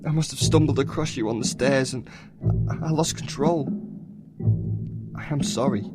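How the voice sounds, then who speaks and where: An actor playing a guilt-ridden, desperate young insomniac in a horror audio drama, anguished and pleading. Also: male voice, medium pitch, moderate pace, natural voice. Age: young adult. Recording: loud music under the voice.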